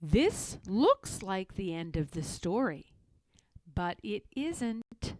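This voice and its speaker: A woman reading a children's story aloud as an expressive narrator. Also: mid-range voice, takes it slowly, no room sound, studio quality. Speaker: storybook reader.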